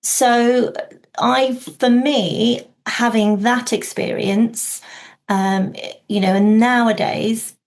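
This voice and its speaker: Gravelly woman chatting reflectively. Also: a little high, fairly even intonation, extremely slow. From Wales. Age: 40s. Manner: reflective, lots of fillers and restarts. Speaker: podcast guest, outdoor learning specialist. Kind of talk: casual conversation.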